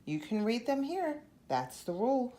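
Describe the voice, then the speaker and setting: A woman voices a storybook head librarian, a woman who lays down the rules firmly. Her voice is raised in pitch and clearly inflected. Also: big pitch swings, a little slow, warm tone. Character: firm female head librarian stating the rules.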